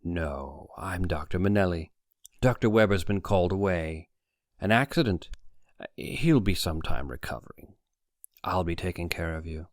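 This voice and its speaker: Man playing calm, measured conspiracy leader. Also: low voice, no room sound, studio quality. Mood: soothing. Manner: measured, murmuring, unhurried. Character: calm, smooth-talking male conspiracy leader behind a desk.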